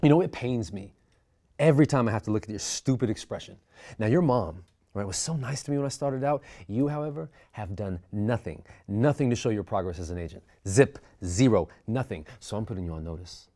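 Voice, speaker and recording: A man's voice: fairly low pitch, lively intonation, fairly quick, warm tone. An actor at a script table read voicing an abrasive, foul-mouthed male boss realtor who barks insults at his employee. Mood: menacing. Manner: crude, domineering, barking insults.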